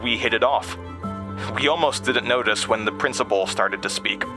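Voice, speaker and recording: A man's voice: medium pitch, normal speed, over music, lightly acted. An actor playing an uneasy man who recounts childhood memories in a confiding, hesitant audio drama monologue. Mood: wry, reflective.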